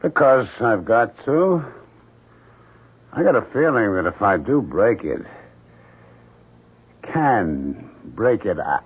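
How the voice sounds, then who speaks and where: Obsessed distracted husband in old 70s radio drama, low-ish raspy voice, swingy pitch, muffled. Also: male voice, sing-song, full character voice. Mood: stubborn, evasive. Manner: distracted, halting, intent. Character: preoccupied husband, stubbornly fixated and evasive. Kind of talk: 1970s radio drama acting.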